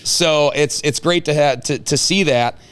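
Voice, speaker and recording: A man hosting a casual chat with high school seniors, asking questions in an informal, teasing, slangy way. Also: a little slow, fairly bright tone, smooth.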